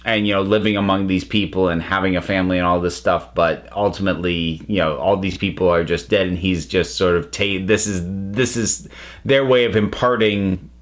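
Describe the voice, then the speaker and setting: A man with a fairly low voice talks through a review in a casual way. He is thoughtful and emphatic, and often breaks off mid-sentence to rephrase what he means. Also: fairly even intonation, moderate pace. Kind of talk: casual spoken review, talking to viewers.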